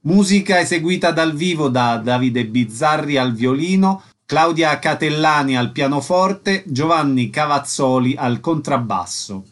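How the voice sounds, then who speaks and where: A man's voice: a little high, lively intonation, measured pace, hardly any pauses. Radio host man announcing show credits. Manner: clear, announcer-like delivery. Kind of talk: reading credits and interviewing.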